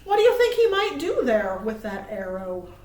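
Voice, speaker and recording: A woman reading aloud to small children as a storytime host, cheerful and expressive. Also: fairly high pitch, normal speed. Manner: cheerful, expressive, asks listeners questions. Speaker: children's librarian hosting storytime. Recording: roomy, echoey sound.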